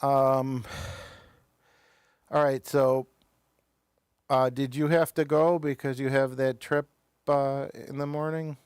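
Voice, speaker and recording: A man's voice: mid-range voice, flat delivery, takes it slowly, pauses often, darkish tone, smooth, dry recording, clean studio sound. A man with an American accent, hosting a casual talk show in a hesitant, wandering way, often trailing off mid-sentence.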